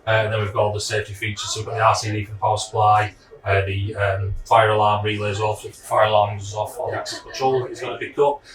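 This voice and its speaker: A man's voice: on the low side, little pitch movement, quick pace, a bit bright. A man giving an informal product demonstration, explaining things in a practical, matter-of-fact way. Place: indoors, echoey room. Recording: echoey room, distant microphone.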